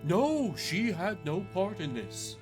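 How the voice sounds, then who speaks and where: A game player voicing a weary, regretful male priest with a formal, measured, soothing manner, over music. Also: mid-range voice, big pitch swings. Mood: confessing. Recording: music under the voice.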